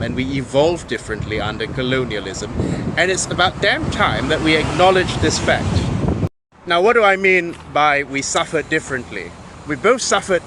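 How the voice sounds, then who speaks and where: A man's voice: on the high side, heavy background noise. A Malaysian man with a British accent, delivering an opinionated monologue and pressing each point with "okay?" and "right?". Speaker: vlogger.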